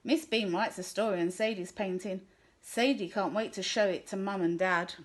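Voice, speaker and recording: A woman reading a children's picture book aloud in a gentle, clear storytelling manner. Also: medium pitch, fairly even intonation, moderate pace. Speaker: storybook reader.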